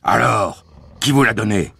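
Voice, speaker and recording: A man voicing a huge, officious, bullying guard in a storybook reading, with a gravelly, shouting, menacing delivery. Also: lowish voice, a little slow, no room sound, voice pushed up, heavily acted. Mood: accusing, sarcastic. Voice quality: raspy, gravelly.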